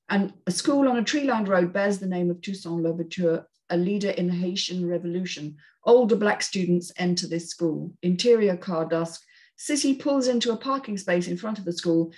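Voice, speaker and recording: A woman's voice: lowish voice, a little mellow, poor audio. A woman narrating stage directions at a screenplay table read, in a scripted, read-aloud style.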